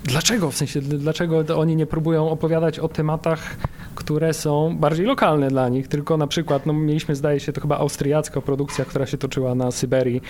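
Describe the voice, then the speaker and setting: Halting man with a higher-pitched voice. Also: level tone, darkish tone. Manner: halting, stumbles and repeats words. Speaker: interviewer. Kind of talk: conversational interview questions. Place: recorded in a cinema. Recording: noticeable background noise.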